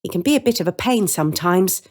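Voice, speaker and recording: A woman's voice: fairly low pitch, lively intonation, fast talker, a little mellow, voice pushed up. A woman voicing a young girl in a storybook, a polite but bossy and anxious sister with an earnest manner.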